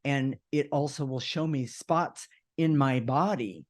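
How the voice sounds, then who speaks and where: A man with a deep voice talking casually and earnestly, with emphasis, as co-host of an unscripted discussion show. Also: a little slow.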